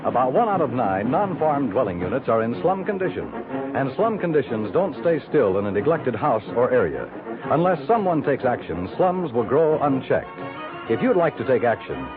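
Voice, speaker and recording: A man's voice: mid-range voice, narrow, tinny audio. An American man reading scripted announcements as a 1950s radio announcer. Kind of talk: 1950s radio announcing, scripted credits and public service message. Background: music.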